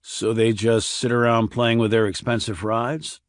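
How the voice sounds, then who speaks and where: A man's voice: medium pitch, level tone, measured pace, no room sound, clean studio sound, close to an everyday voice. A man voicing a blunt, skeptical military investigator with a terse, sardonic manner in audiobook dialogue.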